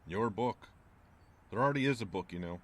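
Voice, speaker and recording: An actor playing a gruff, wary small-town landlord in an audio drama, speaking in a curt, folksy, ominous way. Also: male voice, lowish voice, animated, brisk, a bit bright. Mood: grim, wry.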